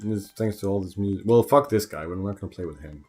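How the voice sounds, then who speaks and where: Casual male gamer, lowish voice, informal and sweary, hosting a playthrough with faint music behind. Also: fast talker, darkish tone. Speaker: let's play host. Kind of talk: casual gameplay commentary chat. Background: video game music.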